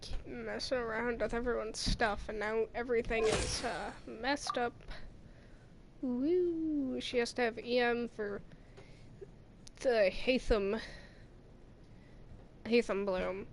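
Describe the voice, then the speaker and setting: Slow-paced, higher-voiced woman gaming. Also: creaky, noisy background. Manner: rambling, thinking aloud. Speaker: game streamer. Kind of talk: casual gameplay commentary. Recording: game music behind the voice. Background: video game music.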